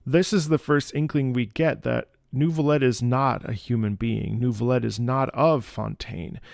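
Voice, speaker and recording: A man giving casual, analytical reaction commentary, thoughtful and often halting as he searches for words. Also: mid-range voice, fairly even intonation, vocal fry, a little mellow, no echo, clean studio sound. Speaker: music reaction host, opera singer.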